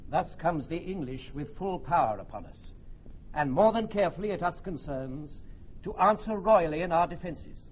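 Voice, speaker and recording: A man's voice: fairly high pitch, animated, moderate pace, natural voice. An actor playing a grave, wary French king, stately and solemn, in a classical radio drama. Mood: urgent command. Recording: dull, faintly noisy old radio recording.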